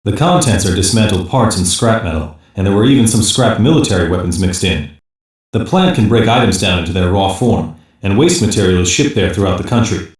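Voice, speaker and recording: A man playing a sober security-police investigator in a sci-fi game, delivering measured, factual reports. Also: lowish voice, lively intonation, natural voice. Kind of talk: English animated sci-fi game voice acting. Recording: very echoey, distant-sounding game audio.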